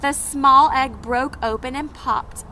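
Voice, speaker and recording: A woman with a fairly high voice reads and talks to young children at a slow pace. She keeps her phrasing simple and puts questions to them. There is clear noise behind her. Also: fairly even intonation, bright, forceful tone. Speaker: teacher reading aloud to young children. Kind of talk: storybook read-aloud and chat for small children.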